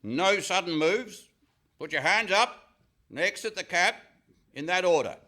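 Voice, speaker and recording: A man's voice: high-pitched, lively intonation, sharp tone, heavily acted. A man playing an officious police officer barking emphatic orders in a radio comedy. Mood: commanding. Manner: commanding, slow, emphatic orders. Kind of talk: Goon Show style radio comedy acting. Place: live performance on stage in a hall.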